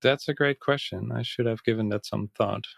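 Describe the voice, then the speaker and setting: A Dutch man, a native Dutch speaker, talking in English as a podcast guest, reflective and thinking aloud with soft laughs. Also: medium pitch, moderate pace, vocal fry, no room sound, studio quality. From Netherlands. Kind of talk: casual interview chat.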